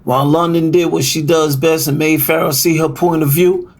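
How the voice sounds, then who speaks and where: A man playing an unconvinced narrator of a family clash in a first-person audio drama, with a husky voice. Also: a little high, fairly even intonation, normal speed. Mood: skeptical.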